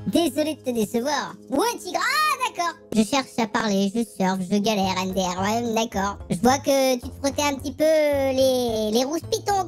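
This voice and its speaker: Man doing a cheeky high tiger voice. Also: a bit bright, full character voice. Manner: teasing, joking, innuendo-laden. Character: cheeky flirtatious male tiger avatar. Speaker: prankster host. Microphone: headset.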